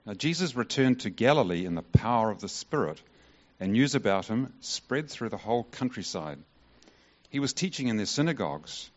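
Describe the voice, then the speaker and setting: A man reading the gospel aloud in church in a measured, formal way. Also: fairly low pitch, lo-fi sound. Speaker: scripture reader.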